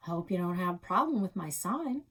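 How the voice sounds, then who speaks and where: A woman's voice: lowish voice, animated, brisk, recorded from a distance. A woman voicing an affable, persuasive grown man who sells houses, in a children's storybook. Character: smooth, friendly adult male realtor.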